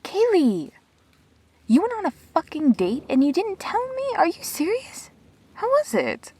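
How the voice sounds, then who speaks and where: A woman playing a perceptive, teasing, supportive friend in an intimate sci-fi audio drama, expressive and blunt. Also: extremely high pitch, unhurried, full character voice. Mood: excited, incredulous.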